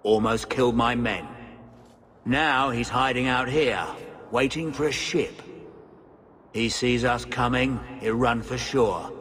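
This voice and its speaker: A coarse, slow-talking mercenary captain. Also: male voice, medium pitch, a bit bright. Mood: gruff. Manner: blunt, coarse, swears freely. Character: gruff crude male mercenary captain. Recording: game audio with music behind.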